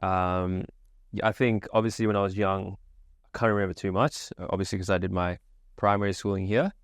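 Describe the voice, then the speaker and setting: A man's voice: low voice, fairly even intonation, fairly quick, clear, resonant tone, dry recording, clean studio sound. A young man from Sri Lanka, a guest on an interview podcast, telling his story in an easygoing, casual way with plenty of fillers. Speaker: guest, agency founder. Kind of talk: relaxed interview answers.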